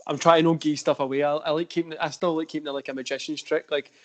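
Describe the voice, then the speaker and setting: A man's voice: fairly high pitch, little pitch movement, very fast. A Scottish man hosting a wrestling podcast, talking in a meandering way with many restarts. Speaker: podcast host. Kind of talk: casual podcast chat.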